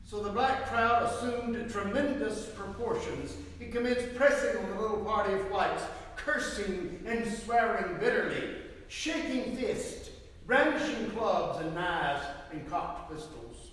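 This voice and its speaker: A man's voice: high voice, unhurried, a bit bright. A man narrating a historical account as an emphatic, declamatory dramatic reading. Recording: very echoey hall, far from mic.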